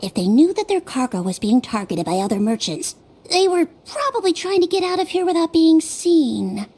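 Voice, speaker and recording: Careful non-human sleuth, high and lilting, thinks aloud step by step, faint music behind. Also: female voice, big pitch swings, normal speed, full character voice. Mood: thoughtful. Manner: methodical reasoning aloud. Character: young female Melusine detective, analytical, gentle. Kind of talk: video game voice acting. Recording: game audio with soft music.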